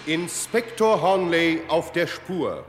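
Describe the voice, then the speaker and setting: Slow, echoing male title announcer over music. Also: fairly high pitch, expressive. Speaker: radio drama title announcer. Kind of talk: dramatic vintage radio title announcement. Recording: reverberant archival radio recording.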